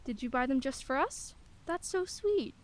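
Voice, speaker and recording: A woman's voice: sits high, animated, moderate pace. A woman acting in a radio play as a weary, wry single mother of a teenager, dry and guarded but polite. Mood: apologetic, polite.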